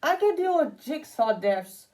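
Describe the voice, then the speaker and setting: A woman's voice: sits high, very animated, unhurried, a little mellow. A woman giving casual, unscripted opinion commentary, rambling and repeating phrases for emphasis. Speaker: commentary video creator.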